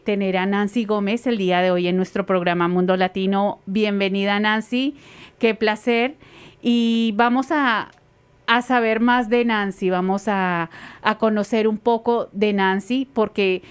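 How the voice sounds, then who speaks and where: A woman's voice: medium pitch, clear, resonant tone. A woman hosting a talk show, introducing her guest with enthusiastic, repetitive praise and filler sounds. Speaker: radio show host. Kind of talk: interview introduction.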